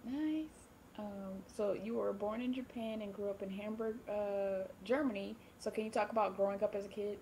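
A woman's voice: medium pitch, fairly even intonation, moderate pace, warm tone, a little hiss or hum, low-quality recording. A woman hosting an interview over a video call, asking questions and encouraging her guest with short replies and laughs. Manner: brief encouraging backchannels and laughs. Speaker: interview host. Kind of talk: casual interview chat. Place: home room, video call. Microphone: earbuds on a video call.

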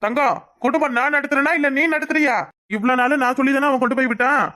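An actor playing a stubborn, domineering middle-aged husband who lays down the law. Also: male voice, very high voice, steady tone, fast, bright, forceful tone. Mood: stern.

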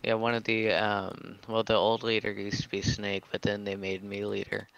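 A man speaking in improvised roleplay over game voice chat, with halting, hesitant phrasing. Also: fairly low pitch, completely flat delivery, creaky, fairly bright tone, clear, resonant tone, highs cut off. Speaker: player in an online zombie roleplay game. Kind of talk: improvised in-character storytelling and chat. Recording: faint noise on game voice chat.

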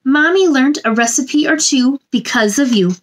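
A woman in her thirties doing a children's read-aloud, with a gentle sing-song delivery and chatty asides addressed to young listeners. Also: high voice, moderate pace. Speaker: children's storytime host reading a picture book aloud. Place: small indoor room.

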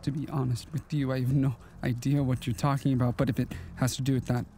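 An actor playing an eerie, courteous, melancholy stranger from the past in an audio drama, speaking haltingly with old-fashioned politeness. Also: male voice, mid-range voice, flat delivery, warm tone, slight background noise, natural voice. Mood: wistful, halting.

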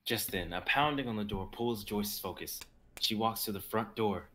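Low-voiced young man narrating a script. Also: normal speed, pushed and bright. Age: 20s. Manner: stumbles over the text. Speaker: narrator reading stage directions. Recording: noisy home video call audio.

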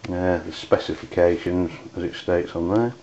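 Low, monotone Englishman, halting delivery. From United Kingdom. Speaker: amateur radio reviewer. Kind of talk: unscripted product review. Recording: dull lo-fi audio with background noise.

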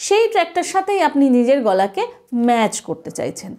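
Casting: female music teacher, thirties, Indian Bengali accent. High, mellow voice, explains step by step. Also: big pitch swings, fairly quick. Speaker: music teacher, channel host. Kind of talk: explanatory vocal lesson to camera.

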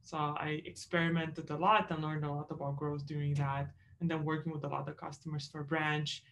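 A woman's voice: deep voice, level tone, moderate pace, creaky, fairly bright tone, a little hiss or hum. A woman from Romania co-hosting a webinar in an upbeat, chatty way with fillers. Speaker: webinar co-host. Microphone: video call.

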